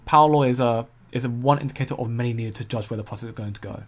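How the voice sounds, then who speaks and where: A man's voice: mid-range voice, very fast. A man, hosting a casual crypto livestream and answering viewer comments in a chatty, self-correcting way. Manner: chatty, self-correcting, laughs easily at chat.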